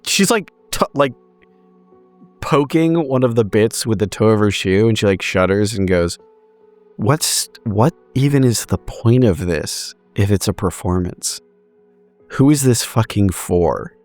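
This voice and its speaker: A man's voice: on the low side, animated, unhurried, a little mellow, soft background music. A man playing a tabletop roleplaying game, talking casually and in character in a blunt, exasperated, sweary way.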